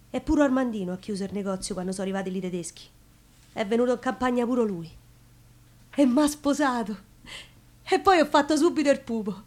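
A woman's voice: fairly high pitch, lightly acted. An actress playing a passionate, plain-spoken working-class woman with a Roman accent, recalling her love in an intimate theatrical monologue. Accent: Roman Italian. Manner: intimate, emotional storytelling with expressive pauses. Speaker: stage actress.

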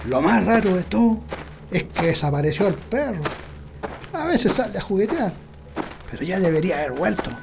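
Stubborn Chilean country husband, high-pitched, animated. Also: male voice, normal speed, gravelly, noisy background, heavily acted. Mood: wary, threatening. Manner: colloquial, dismissive rural speech. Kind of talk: radio drama acting. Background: prominent music.

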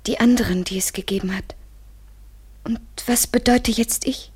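A woman's voice: fairly high pitch, steady tone, normal speed, a little mellow, husky. An actress playing a fragile, anxious woman in love in a literary German radio play, hesitant and intimate, often near a whisper. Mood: hesitant, insecure.

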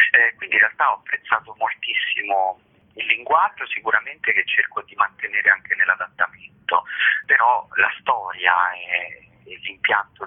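A man's voice: low voice, slightly rough. A man giving reflective, intellectual interview answers as a theatre playwright and actor. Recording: narrow tinny phone line.

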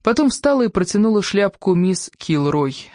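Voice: medium pitch, very animated, normal speed, a little mellow, no echo, clean studio sound. Размеренный чтец художественной прозы, сухая запись. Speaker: audiobook narrator. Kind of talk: scripted literary narration with acted dialogue.

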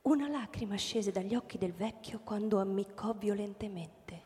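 A woman's voice: medium pitch, moderate pace, warm tone. A woman narrating a literary radio drama from a script. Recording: long hall reverb.

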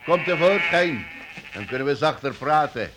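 An actor voicing a kindly master who leads travellers with measured, friendly authority in a radio play. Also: male voice, fairly high pitch, animated, lightly acted. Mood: practical. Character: calm, kindly master leading a small travelling party. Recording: noisy old radio play recording.

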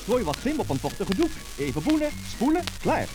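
Casting: male commercial announcer, swooping and lively, scripted sell over music, on an old disc. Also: high-pitched, a little fast, poor audio. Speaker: advertising announcer. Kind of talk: scripted cleaning-product commercial narration.

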